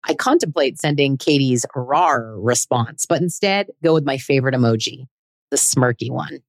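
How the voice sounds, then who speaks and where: A woman in her forties narrates her dating life with a low voice, in a candid, chatty and self-deprecating way. The sound is dry and close, with no room echo. Her scripted storytelling still sounds personal. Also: animated, normal speed. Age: 40s. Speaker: podcast host.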